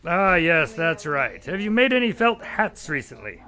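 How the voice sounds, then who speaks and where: Radio drama lead: a man plays a courteous, put-upon doctor, narrating in a slightly higher voice. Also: very animated, moderate pace, sharp tone. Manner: polite and exasperated. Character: polite, slightly exasperated male doctor narrator.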